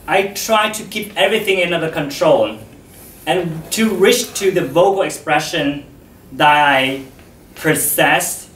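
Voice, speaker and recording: Slow man speaking in a roomy hall. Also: on the high side, distant mic, slight background noise. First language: Vietnamese. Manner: slow and deliberate, with frequent pauses. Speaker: speaker giving a talk. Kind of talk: public talk to an audience.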